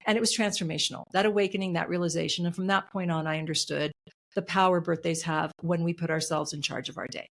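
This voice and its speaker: A woman's voice: fairly low pitch, steady tone, fast, gravelly. A middle-aged woman being interviewed as an author and coach, speaking earnestly and emphatically, repeating her key phrases. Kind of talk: podcast interview.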